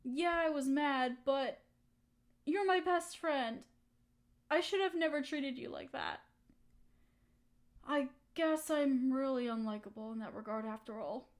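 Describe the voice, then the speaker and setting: A woman acting a hurt young woman softening toward her best friend, in an intimate roleplay audio drama, emotional and halting. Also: sits high. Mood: apologetic, soft.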